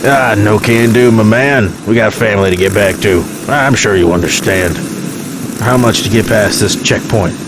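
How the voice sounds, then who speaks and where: An actor playing a wry, cool-headed long-haul trucker who talks in folksy slang. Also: male voice, fairly low pitch, moderate pace, close to an everyday voice. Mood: affable bargaining. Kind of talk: naturalistic audio drama dialogue. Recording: noisy truck cab with engine. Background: vehicle engine.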